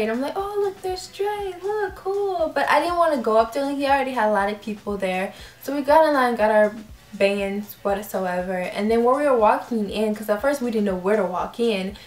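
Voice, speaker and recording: A young woman vlogger telling a story casually, acting out her own reactions with lively quoted voices. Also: a little high. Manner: animated, acts out quoted reactions. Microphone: camera mic. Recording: roomy echo.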